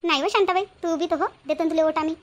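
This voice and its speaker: A woman's voice: very high-pitched, very fast, dull audio. A woman voicing an eager, trusting village housewife in a Marathi cartoon, chattering colloquially with a rural Vidarbha accent. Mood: teasing. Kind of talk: Marathi cartoon moral-story dialogue.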